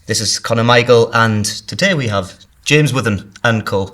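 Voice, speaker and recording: A man's voice: mid-range voice, moderate pace, fairly bright tone. A man with a North East English accent, the podcast host, chatting casually and wandering off on tangents, tripping over his words. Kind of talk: casual pub podcast chat. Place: pub.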